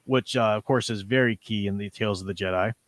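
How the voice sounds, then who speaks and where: A man's voice: fairly low pitch, fast, no echo. A man in his forties hosting a livestream, talking casually and enthusiastically as a fan, in a rambling way. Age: 40s. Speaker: livestream host. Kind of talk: casual fan discussion of comics and audio dramas.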